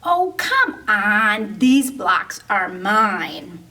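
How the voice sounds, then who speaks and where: A woman's voice: mid-range voice, big pitch swings, very slow, pushed and bright, far from the mic, exaggerated voice. Woman reading as a grabby young dinosaur. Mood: defiant. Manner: sing-song rhyming lines.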